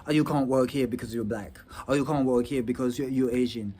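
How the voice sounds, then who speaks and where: A young man from Rwanda talking earnestly about his future plans in a personal vlog, with halting restarts and many fillers. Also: medium pitch, steady tone, dark tone, slightly rough. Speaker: vlogger. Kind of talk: personal reflective talk to camera.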